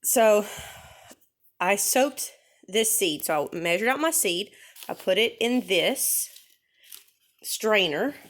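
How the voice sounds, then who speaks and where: A woman's voice: medium pitch, expressive, slow pace, pauses often. Nasal woman getting over a cold. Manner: chatty, rambling, with many ums and self-corrections. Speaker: vlogger. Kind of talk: casual how-to demonstration.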